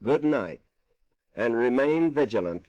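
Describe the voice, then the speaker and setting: Casting: male actor as grave American wartime-era president, formal and oratorical, lively pitch movement, muffled old radio recording. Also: natural voice. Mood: solemn. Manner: measured, solemn, oratorical. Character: solemn, resolute American president addressing a worried nation. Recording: dull vintage radio broadcast sound.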